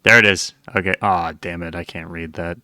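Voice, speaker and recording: A man with a deep, bright voice recorded close and dry. He talks casually as a reaction host, thinking aloud and stumbling a little as he comments. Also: steady tone, moderate pace, no room sound.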